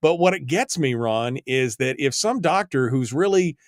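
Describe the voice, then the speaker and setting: A man hosting a call-in talk radio show, talking in a halting way and often repeating words. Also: mid-range voice, lively intonation, no room sound, clean studio sound.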